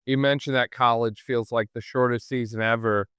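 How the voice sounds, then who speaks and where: A man's voice: mid-range voice, fairly even intonation, moderate pace, fairly bright tone, clear, resonant tone, dry recording, clean studio sound. A man hosting a podcast, interviewing in an effusive, wordy conversational way. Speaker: podcast host.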